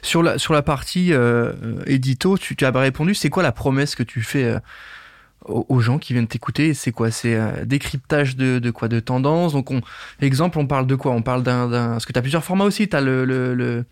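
A man's voice: medium pitch, fairly quick, no room sound. Man asking casual questions, dry sound. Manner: informal, feels out questions as he goes. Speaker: interviewer.

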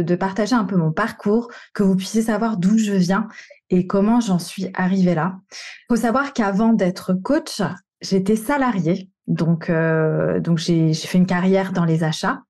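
Woman from the paris region, coach, candid storytelling, mellow warm tone, casual slangy delivery. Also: medium pitch. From Paris region, France. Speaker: coach and podcast host. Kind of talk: personal storytelling monologue.

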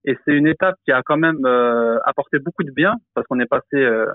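A man who teaches the Quran, explaining slowly in a podcast discussion, hesitant, with many fillers and restarts. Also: medium pitch, no echo, phone-quality sound.

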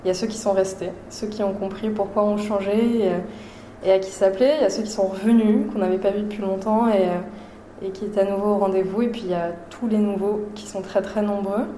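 A woman chef from Paris, talking about her career in a relaxed, halting way with many fillers. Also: mid-range voice, roomy sound, a little hiss or hum. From Paris, France. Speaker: chef and restaurant owner, guest. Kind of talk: casual interview.

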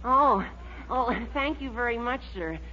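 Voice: medium pitch, lively intonation, a little slow, natural voice. An actor playing a timid, gullible young nephew who sells insurance, with hesitant gee-whiz delivery in a 1940s radio sitcom. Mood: polite, bewildered. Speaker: comic actor. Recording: muffled 1940s radio recording, faint noise.

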